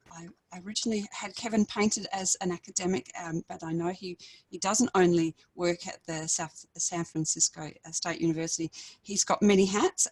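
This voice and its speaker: An Australian woman with a croaky, slightly hoarse voice speaks over a video call, sitting a little low in pitch. She rambles through a panel introduction, full of fillers and restarts. The sound is dry and close. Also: no echo, rough recording. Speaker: panelist from an ePortfolio group. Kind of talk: webinar introduction.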